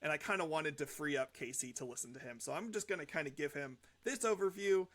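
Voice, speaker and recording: A man's voice: a little high, fast talker, a bit bright. A young man hosting a music reaction show, talking casually in an earnest, reflective, rambling way. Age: young adult.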